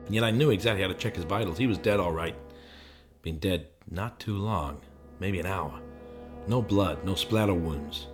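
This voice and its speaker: A man's voice: low voice, moderate pace, faint music behind, lightly acted. A man playing a confused, suspicious amnesiac in a film noir audio drama, terse and agitated, both narrating and speaking in scenes. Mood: tense, bewildered. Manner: terse, agitated, muttering to himself.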